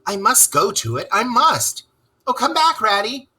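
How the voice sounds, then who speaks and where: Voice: medium pitch, sing-song, a little slow, pushed and bright, pitched above the performer's own voice, heavily acted. A storybook reader voicing a small, timid mole who pleads urgently and emotionally. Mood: anguished pleading.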